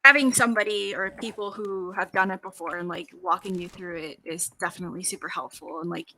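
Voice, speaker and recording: A woman's voice: medium pitch, steady tone, a little fast, sharp tone, low-quality recording. A woman being interviewed, chatting informally with lots of slang and casual swearing. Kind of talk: casual chat.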